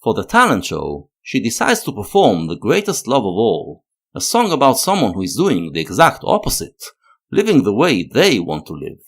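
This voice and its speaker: A man narrating a scripted analytical video essay in a measured, expressive way. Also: lowish voice.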